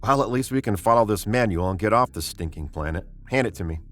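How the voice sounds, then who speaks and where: Man as low-voiced, commanding spaceship captain. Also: expressive, fast talker, a little hiss or hum, lightly acted. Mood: tense. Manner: brusque, authoritative. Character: commanding, tense male spaceship captain, brooding narrator. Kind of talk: 1950s radio drama acting, first-person narration.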